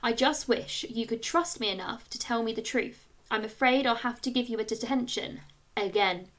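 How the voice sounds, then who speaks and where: A woman's voice: fairly high pitch, normal speed, a bit bright, lightly acted. A weary woman teacher from a children's storybook, acted out by a woman reader. She is exasperated and reproachful, stressing her words as she addresses a pupil, in a raised voice. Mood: disappointed, reproachful.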